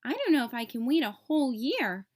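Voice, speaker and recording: Young boy turtle, storybook female reader, high-pitched, childlike, sensitive, heart on his sleeve. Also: sing-song, brisk, a little mellow. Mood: excited.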